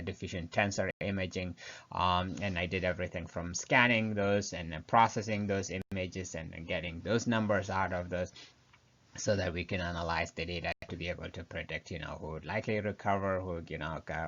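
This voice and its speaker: Low-voiced East African man, muffled audio. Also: a bit bright. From South Sudan, grew up in Ethiopia and Kenya. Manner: halting, frequent hesitations and restarts. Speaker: epidemiologist, researcher presenting. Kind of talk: informal slide presentation.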